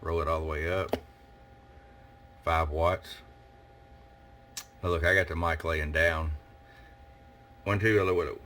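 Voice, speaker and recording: Real low voiced guy, radio tech showing stuff casually, plain talk, noisy shop recording. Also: steady tone, a little slow, pauses often, fairly bright tone. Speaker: radio technician demonstrating and tuning CB radios. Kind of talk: casual hands-on demonstration.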